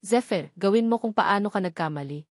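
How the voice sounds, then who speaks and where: A woman's voice: mid-range voice, expressive, brisk, dry recording, close to an everyday voice. Woman voicing angry sect boss guy in an audiobook, stern scolding, formal, dry sound. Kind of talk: audiobook dialogue.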